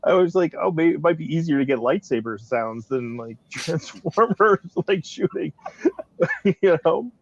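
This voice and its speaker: A man's voice: a little high, animated, measured pace, dull audio. A man chatting casually in a rambling way, punctuating his talk with chuckles. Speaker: director on a production discussion panel. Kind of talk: casual chat.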